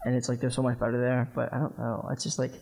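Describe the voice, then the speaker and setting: A man's voice: medium pitch, monotone, quick pace, vocal fry, poor audio. A young man co-hosting a college radio show, rambling casually with many fillers. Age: young adult. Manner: meandering, filler-heavy, soft-spoken. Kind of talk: casual chat.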